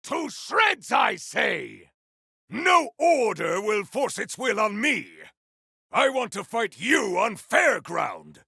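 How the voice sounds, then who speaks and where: Booming, raspy warrior with wild pitch swings. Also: male voice, very animated, slow pace, bright, forceful tone, no echo, raised voice, studio quality, full character voice. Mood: battle fury. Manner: theatrical, declamatory, grandiose. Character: fierce honorable cyborg warrior, bombastic and noble. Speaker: video game voice actor. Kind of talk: video game combat barks.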